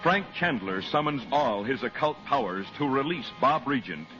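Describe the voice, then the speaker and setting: A man with an American accent announcing and narrating a 1940s radio serial in a theatrical, deliberate scripted style. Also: medium pitch, expressive, sharp tone. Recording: muffled 1940s radio broadcast. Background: music.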